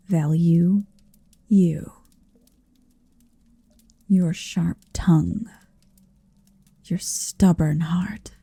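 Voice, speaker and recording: A woman playing a possessive, motherly dragon in an ASMR roleplay, soft and breathy, teasing and dominant, close on the microphone. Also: mid-range voice, no room sound, full character voice. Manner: deliberate, teasing, dominant, lingering on phrases. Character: possessive motherly female dragon, tender, proud, quietly menacing. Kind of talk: ASMR roleplay, intimate slow murmuring and whispering. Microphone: close microphone, dry.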